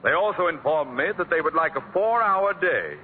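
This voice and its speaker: An actor playing a blustering, pompous middle-aged school principal in a 1940s radio comedy, barking out orders. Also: male voice, a little high, animated, normal speed, natural voice. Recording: tinny old radio broadcast with hiss.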